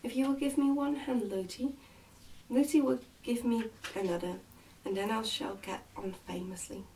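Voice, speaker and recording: A woman's voice: a little high, normal speed, mellow, recorded from a distance, a little hiss or hum, close to an everyday voice, poor audio. A woman voicing a trusting, outspoken little princess in a storybook, with an earnest, insistent childlike manner.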